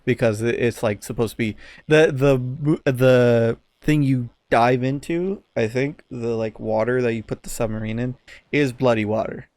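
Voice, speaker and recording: A man co-hosting a podcast in casual chat, halting as he searches for his words. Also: medium pitch, fairly even intonation, darkish tone, no echo. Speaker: podcast co-host.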